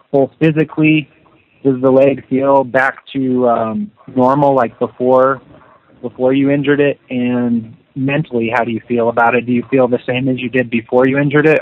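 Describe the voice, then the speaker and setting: Reporter guy on a phone call, casual long questions, tinny line. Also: mid-range voice. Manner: wordy, casual, rephrasing questions. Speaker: journalist. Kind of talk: asking interview questions. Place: telephone conference call. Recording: narrow-band phone line.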